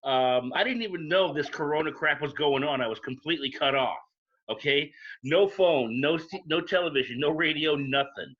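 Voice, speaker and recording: A man's voice: a little high, moderate pace. A man chatting casually on a video call, telling stories in a blunt, emphatic way. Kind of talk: casual storytelling chat. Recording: dull, lo-fi call audio.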